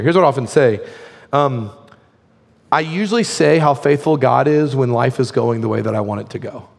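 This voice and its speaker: A man preaching a sermon as a pastor, his voice hoarse and his delivery conversational, full of "like" fillers. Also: mid-range voice, a little mellow, long reverb tail.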